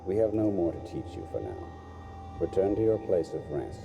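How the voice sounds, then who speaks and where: Very deep, gravelly assassin instructor. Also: male voice, big pitch swings, moderate pace, full character voice. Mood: instructive, stern. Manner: formal, clipped, commanding. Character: stern, measured male assassin instructor. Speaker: video game voice actor. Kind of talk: scripted game dialogue. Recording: game dialogue under music.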